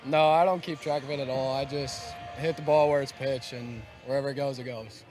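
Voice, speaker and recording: A young man answers post-game questions as an athlete. His voice is mid-pitched and stays quite flat. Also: brisk. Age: young adult. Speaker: college baseball player.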